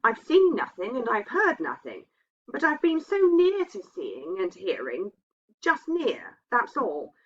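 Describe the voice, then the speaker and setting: A woman's voice: fairly high pitch, wildly swooping pitch, unhurried, a bit bright, voice pushed up, heavily acted. Woman reading as an uneasy, haunted man. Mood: haunted, anxious. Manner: hesitant, earnest, anxious. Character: troubled, uneasy man confiding a haunting dread. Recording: dull, muffled lo-fi sound.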